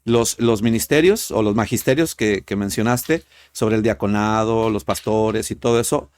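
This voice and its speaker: A man's voice: fairly low pitch, darkish tone, no room sound. Hesitant Mexican man calling in. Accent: Mexican Spanish. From Tijuana, Mexico. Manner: hesitant, many fillers, quick bursts. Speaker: caller. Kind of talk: call-in debate.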